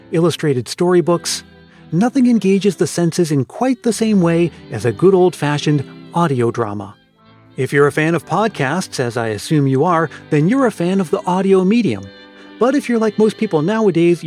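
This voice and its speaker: A man's voice: fairly high pitch, a little mellow. A man hosting a podcast with scripted narration. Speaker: podcast host.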